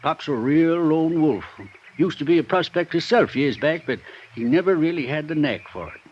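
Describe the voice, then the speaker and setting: An actor playing an old, shrewd prospector who has lived alone in the mountains for decades, talking in a drawling rural dialect, in a 1950s radio drama. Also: male voice, on the high side, expressive, normal speed, lightly acted. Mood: folksy. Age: older. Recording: dull old radio broadcast with faint hiss.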